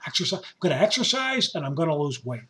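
Raspy, hoarse man with a high voice. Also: sing-song, fast talker, bright, forceful tone. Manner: rambling, joking, talks to his musician. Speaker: podcast host. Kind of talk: casual monologue. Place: basement home studio.

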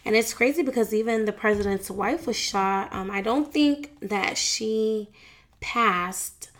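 A woman's voice: medium pitch, measured pace. A young woman vlogging in a casual, frank way, chatting about celebrity news and family with plenty of fillers and slang. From United States. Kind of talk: casual celebrity gossip chat.

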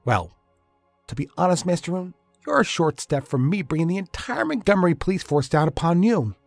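A man's voice: sits high, animated, a little fast, vocal fry, no echo, soft background music, pitched above the performer's own voice, full character voice. Narrator doing a tough no-nonsense cop guy, dry sound. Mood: confrontational. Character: blunt, tough male police detective.

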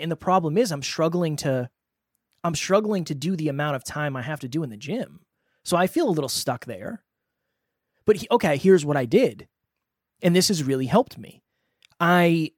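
A man's voice: fairly high pitch, expressive, moderate pace, no room sound, studio quality. A man in his thirties co-hosting a podcast, candid and self-deprecating in casual chat. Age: 30s. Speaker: podcast co-host.

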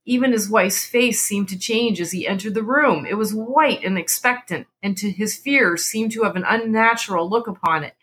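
A woman reads a classic story aloud as its narrator. Her voice sits at a middle pitch with a husky texture. The delivery is a dramatic literary reading. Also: moderate pace, hardly any pauses, fairly bright tone.

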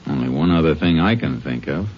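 Low-voiced, clipped Texas Ranger man. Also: animated, a little fast. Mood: methodical, grave. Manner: clipped, probing, matter-of-fact. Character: calm, sharp-eyed male Texas Ranger investigator. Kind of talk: 1950s western radio drama acting. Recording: dull, noisy vintage radio recording.

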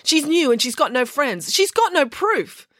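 Tearful cheating schoolgirl, put on by a woman storyteller; high, wailing, pleading. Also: very animated, moderate pace, fairly bright tone, no echo, clean studio sound. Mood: defensive.

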